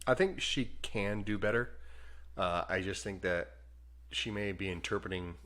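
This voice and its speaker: A man's voice: fairly low pitch, moderate pace, fairly bright tone. A man co-hosting a casual podcast, laid back and rambling, often chuckling between his points. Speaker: podcast co-host. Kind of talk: casual movie review chat.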